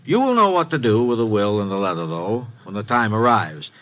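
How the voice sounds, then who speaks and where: An actor playing a wealthy, secretive loan company president who speaks hesitantly, with many pauses, in a 1950s radio drama. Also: male voice, fairly low pitch, sing-song. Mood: guarded. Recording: dull lo-fi old radio recording.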